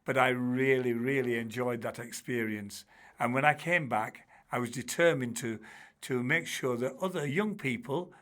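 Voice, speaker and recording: A man's voice: mid-range voice, normal speed. An older man, a former teacher telling stories in a chatty interview, full of restarts and asides. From Bradford, England. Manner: chatty, warm-humoured, many restarts and "you know"s. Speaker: guest, charity trustee and former teacher. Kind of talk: relaxed storytelling in an interview.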